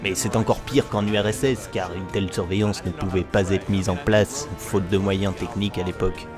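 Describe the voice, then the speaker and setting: A man's voice: deep voice, steady tone, moderate pace. A man narrating a mock documentary in a grave, conspiratorial manner. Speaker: narrator of a satirical investigation video. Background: background music under the voice.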